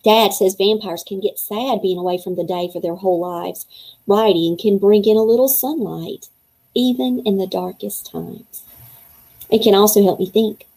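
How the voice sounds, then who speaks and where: A woman's voice: mid-range voice, normal speed, dark tone, natural voice, poor audio. A woman reading aloud as an eleven-year-old vampire boy writing in his diary, in a confiding first-person storybook manner.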